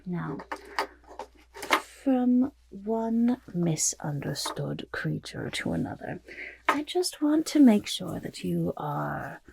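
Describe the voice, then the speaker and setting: A woman playing a vain, fussy goblin portrait artist in an ASMR roleplay, with a raspy half-whisper and pompous, flattering, hesitant talk. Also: lowish voice, slow pace, slight background noise, full character voice, rough recording. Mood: fussy flattery. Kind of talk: ASMR roleplay, soft personal attention.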